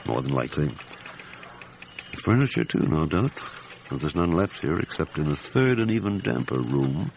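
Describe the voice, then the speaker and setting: A man playing a seasoned, gruff and methodical police chief inspector in a detective radio drama, speaking in a measured, deliberate, brooding way. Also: very deep voice, very animated, a little mellow, muffled recording, a little hiss or hum, exaggerated voice. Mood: brooding, observant. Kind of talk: radio drama acting and narration.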